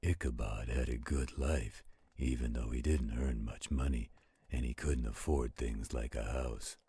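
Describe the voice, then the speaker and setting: Very deep, gravelly gentle werewolf. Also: male voice, steady tone, exaggerated voice. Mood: soothing storytelling. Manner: slow, soothing, patient. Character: gentle protective adult male werewolf reading aloud. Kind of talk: roleplay audio storytelling.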